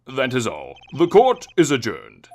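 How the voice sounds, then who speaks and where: A man's voice: mid-range voice, huge pitch swings, unhurried, slight background noise. A man voicing a solemn, formal older courtroom judge in a video game fandub.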